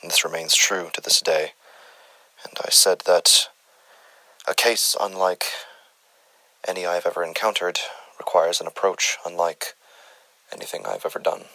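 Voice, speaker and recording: A man's voice: sits low, moderate pace, creaky, bright, forceful tone, no room sound, heavily acted, poor audio. A man voicing a brooding male doctor who speaks in a formal, wordy, self-searching confession about a strange case. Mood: grave, confessional.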